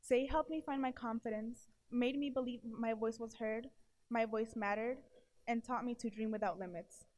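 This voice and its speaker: Young woman early 20s, stutters, kinda high voice, giving a careful heartfelt speech on stage. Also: fairly even intonation, moderate pace, a little mellow. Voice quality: stutter with blocks and repeated syllables. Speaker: award presenter giving a testimonial. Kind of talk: prepared speech to an audience.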